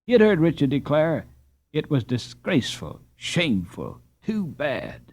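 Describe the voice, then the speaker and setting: A man reading a classic children's novel aloud in an expressive, lilting storybook manner. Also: fairly high pitch, measured pace, husky. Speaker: audiobook narrator. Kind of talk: scripted storybook narration. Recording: dull lo-fi recording.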